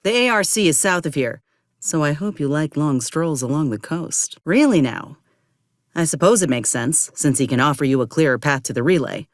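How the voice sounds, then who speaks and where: A woman's voice: low-pitched, animated, moderate pace, no echo, studio quality. An actress playing an earnest, determined young woman soldier with folksy rural turns of phrase in a video game. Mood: matter-of-fact. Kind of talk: video game character dialogue.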